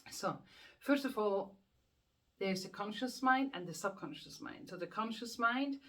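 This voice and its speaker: A woman's voice: mid-range voice, expressive, a little slow, fairly bright tone. A woman with a Swiss accent, a hypnotherapist explaining the subconscious mind to camera in an earnest, repetitive way with rhetorical questions. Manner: earnest, repeats words while thinking, rhetorical questions. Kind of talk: self-help explainer to camera.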